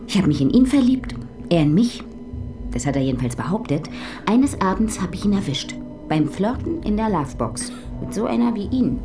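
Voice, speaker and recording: An actress in a radio crime play voicing a lonely, jilted nurse who poisons her rivals, speaking in soft, drawn-out, menacing whispers. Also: medium pitch, big pitch swings. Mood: confiding. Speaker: murderer. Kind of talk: radio crime drama acting. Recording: radio play with background music.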